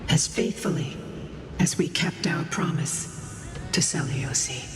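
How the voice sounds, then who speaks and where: Deep, echoing ancient guardian. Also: female voice, lively intonation. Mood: solemn. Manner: formal, measured. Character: solemn ancient female spirit guardian, faithful and composed. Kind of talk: scripted video game voice acting. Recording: very echoey distant hall with music.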